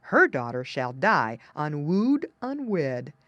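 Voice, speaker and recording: A stubborn little storybook dog, voiced by a woman, barking out rhymed prophecies. The chant is lowish and lively, recorded dry and close. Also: slow pace, dry recording, muffled recording, full character voice. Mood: defiant, chanting.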